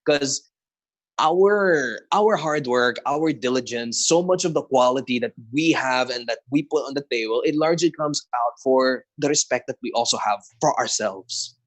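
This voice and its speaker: A man hosting a live online panel discussion, earnest and measured, asking long questions. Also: mid-range voice. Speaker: host.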